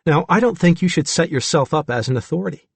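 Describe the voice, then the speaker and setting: Male narrator as a female doctor, higher pitched, persuasive, reassuring, firmly in control, dry close sound. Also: animated, fast talker, gravelly, breathy edge, dry recording, exaggerated voice. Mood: sharply persuasive. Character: gentle but firm, persuasive woman doctor.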